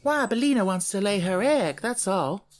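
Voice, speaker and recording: Storybook young girl, played by a man: lifted pitch, plain-spoken and matter-of-fact. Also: animated, moderate pace, soft background music. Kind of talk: audiobook character voice.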